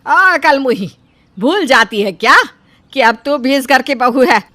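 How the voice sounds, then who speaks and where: A woman's voice: sits high, lively intonation, a little slow, sharp tone, dry recording, exaggerated voice. A woman playing a scolding, bossy mother-in-law in a cartoon story. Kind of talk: Hindi cartoon story voice acting.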